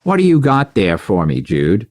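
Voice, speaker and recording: A man voicing a gruff middle-aged tabloid boss, a nasal, mobster-like former thug, in an audiobook. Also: on the low side, huge pitch swings, moderate pace, darkish tone, no echo, clean studio sound. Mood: demanding, disgusted. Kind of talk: audiobook character acting.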